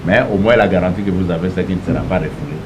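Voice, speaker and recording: A man, a border police director explaining rules in a briefing, often restarting his phrases. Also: rapid-fire. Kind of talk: briefing then answering a reporter. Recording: noisy room with rumble.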